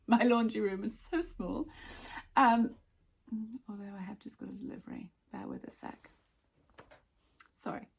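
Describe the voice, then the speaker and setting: A woman giving chatty laundry tips in a casual vlog, sounding a bit croaky. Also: medium pitch. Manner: chatty, friendly asides to viewers. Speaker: lifestyle vlogger.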